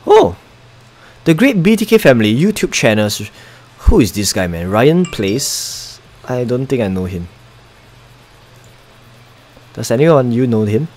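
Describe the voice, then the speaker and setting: A man's voice: mid-range voice, big pitch swings, a little slow, pauses often, a little mellow. Young Singaporean male game streamer, Singaporean accent; casual, chatty commentary with muttered asides. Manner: chatty, muttering asides, reading chat aloud.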